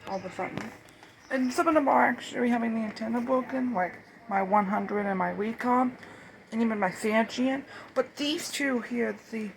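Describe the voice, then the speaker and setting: A hobbyist talking casually and unscripted in a show-and-tell, rambling and halting, often self-correcting, in an amateur home recording. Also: medium pitch, gravelly, slight background noise, rough recording. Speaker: hobbyist video creator.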